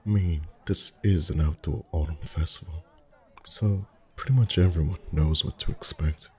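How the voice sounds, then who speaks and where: A man playing a friendly, chatty festival face painter in an ASMR roleplay, soft-spoken, reassuring and gently flirtatious. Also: rumbling low, moderate pace. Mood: warm, chatty. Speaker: voice actor.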